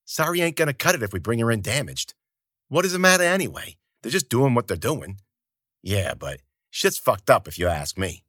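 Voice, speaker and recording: A man's voice: mid-range voice, sing-song, normal speed, a bit bright, no echo. Crude male thugs bicker and sneer, their voices jumping up and down, as a man reading a story puts them on. The sound is close and dry.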